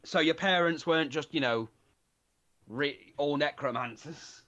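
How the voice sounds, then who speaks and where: A man's voice: fairly high pitch, measured pace, bright, forceful tone, highs cut off. A man in casual chat as a tabletop game player, talking in a rambling, self-deprecating way.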